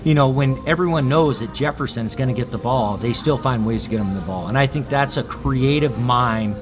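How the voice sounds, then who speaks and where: A man's voice: medium pitch, normal speed. A man speaking as a sports talk guest, giving his analysis in casual conversation.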